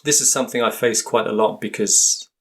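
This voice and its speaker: A man's voice: on the low side, fairly even intonation, brisk. A man speaking as a guest linguist in an interview, thoughtful and hesitant, often restarting his sentences and searching for words. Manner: hesitant, many restarts and fillers.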